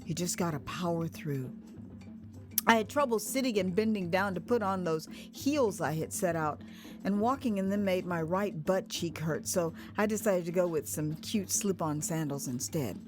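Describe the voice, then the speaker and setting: An American woman narrating her own memoir as a dramatized first-person story, conversational and expressive, acting out moments as she goes. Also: on the low side, moderate pace. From United States. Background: music.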